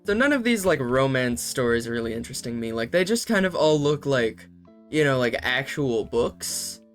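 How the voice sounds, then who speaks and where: A man's voice: mid-range voice, big pitch swings, moderate pace, a bit bright, soft background music. A man giving comedic commentary as he reads fanfiction aloud, full of sarcastic, self-interrupting asides and laughs. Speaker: YouTuber reading fanfiction aloud.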